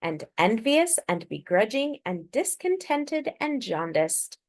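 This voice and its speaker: A wordy, loyal father from a children's picture book, put on by a woman reader. He speaks in a measured, unhurried way, with emphatic swings in pitch. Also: very animated, heavily acted. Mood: reassuring.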